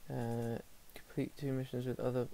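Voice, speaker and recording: A man's voice: mid-range voice, little pitch movement, moderate pace, creaky, warm tone, slight background noise, rough recording. A man from England casually commenting on his own gameplay, muttering to himself with lots of hesitant fillers. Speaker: gaming streamer.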